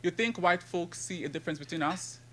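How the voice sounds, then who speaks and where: A man in his thirties from Nigeria with a Nigerian accent, giving a conference talk and reciting his poetry in a measured, rhythmic way. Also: sits high, fairly bright tone. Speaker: conference speaker and poet. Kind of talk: academic talk with poetry reading.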